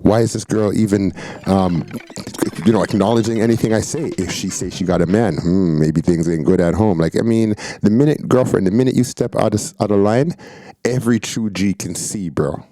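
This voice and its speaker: A man's voice: lowish voice, moderate pace, mellow. A man hosting a podcast, reacting to video clips in casual, slangy commentary and hyping up the speakers. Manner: hyped, interjecting, slangy.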